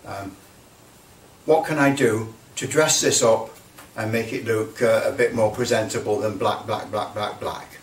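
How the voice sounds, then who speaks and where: A man giving a casual hands-on demonstration, halting and thinking aloud as he repeats phrases. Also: on the low side, fairly even intonation. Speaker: presenter of a hobby project. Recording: roomy, distant mic, faint noise.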